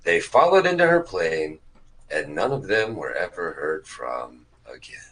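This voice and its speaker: A man's voice: low voice, wildly swooping pitch, measured pace, a bit bright, poor audio. A man narrating comedy sketches in a theatrical, mock-announcer style.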